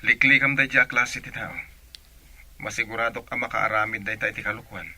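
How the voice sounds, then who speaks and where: Young Ilocano sailor boyfriend, very sharp forceful tone, radio play. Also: male voice, mid-range voice, fairly even intonation, a little fast. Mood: indignant. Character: young seaman, defensive boyfriend and reassuring son. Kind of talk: radio comedy-drama acting.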